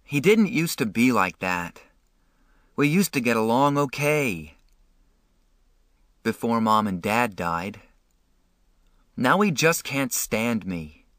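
A man's voice: medium pitch, very animated, takes it slowly, pauses often, fairly bright tone, dry recording, clean studio sound. A man reading an audiobook, voicing a sensitive, upset teenage boy who pleads and protests.

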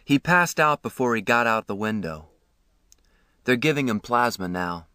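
A man doing a calm adult stranger. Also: mid-range voice, normal speed, smooth, no echo, clean studio sound. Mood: reassuring. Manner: calm, reassuring. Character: kind adult male stranger in an ambulance.

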